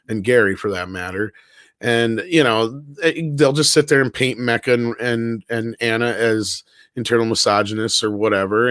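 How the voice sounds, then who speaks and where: A man's voice: medium pitch, a bit bright, no room sound, studio quality. A man hosting a casual panel chat in an informal, rambling way with some swearing. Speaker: panel show host.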